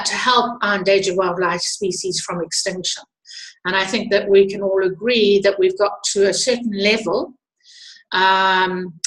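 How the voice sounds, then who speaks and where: A woman's voice: mid-range voice, a bit bright. A middle-aged woman with a South African accent, presenting informally as the host of an online webinar. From Zimbabwe and South Africa. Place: indoors at a computer, online webinar. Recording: echoey room on computer microphone.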